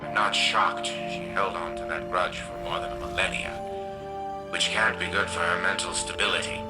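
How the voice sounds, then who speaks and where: Guy doing arrogant old genius computer mind, video game villain type, sneery and pompous, big loud music behind. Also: low-pitched, fairly even intonation, normal speed, close to an everyday voice. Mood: scornful, amused. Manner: pompous, sardonic, formal diction. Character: arrogant old scientist's mind as an AI, imperious, scornful. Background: loud game soundtrack music.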